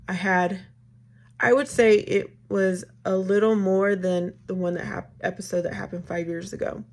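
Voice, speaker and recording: A raspy-voiced woman chats with viewers while she gets ready. Her mid-range voice has a gravelly edge, and she wanders from topic to topic and calls her viewers "y'all." It sounds like an easy home vlog. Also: medium pitch. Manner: chatty, rambling, addresses viewers as y'all. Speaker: vlogger. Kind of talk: casual get-ready-with-me chat.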